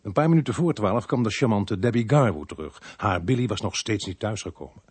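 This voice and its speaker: An actor playing a sceptical, world-weary police inspector in a Dutch radio play, dry and sardonic. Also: male voice, fairly low pitch, lively intonation, fairly quick, a little mellow, slightly rough. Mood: reflective narration.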